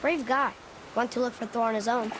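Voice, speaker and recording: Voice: medium pitch, steady tone, fairly quick, noisy background, natural voice. A young performer playing a curious, earnest boy in a video game, inquisitive and unguarded. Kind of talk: video game cutscene acting.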